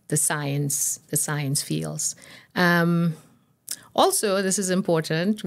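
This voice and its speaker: An older woman speaks in a notably low voice. She explains things in a measured, conversational way, as a medical guest answering interview questions. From Trinidad and Tobago. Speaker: guest pediatrician. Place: small indoor podcast studio. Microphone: boom-arm podcast microphone.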